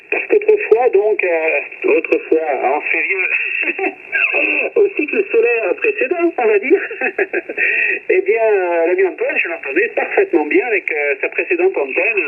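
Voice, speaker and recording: A man's voice: high-pitched, slightly rough. A man chatting casually as an amateur radio operator over a radio link, often tagging his phrases with small checking words. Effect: radio transmission. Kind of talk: casual radio contact chat. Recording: muffled radio transmission.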